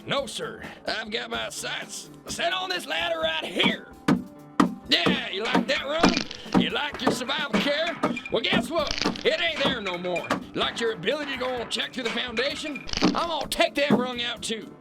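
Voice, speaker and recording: A man's voice: very high voice, expressive, moderate pace, gravelly, over loud music, exaggerated voice. A man playing a bombastic, corrupt kingpin boss in an audio drama, blustering, mocking and condescending. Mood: taunting, menacing. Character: bullying, corrupt alpha-male kingpin boss, bombastic and scornful. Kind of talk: theatrical radio-play acting.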